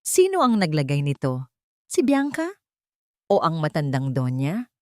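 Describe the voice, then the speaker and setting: A woman's voice: deep voice, huge pitch swings, measured pace, no echo, studio quality, heavily acted. A woman voicing an anguished, tearful young mother who pleads her innocence in an audio melodrama. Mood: alarmed.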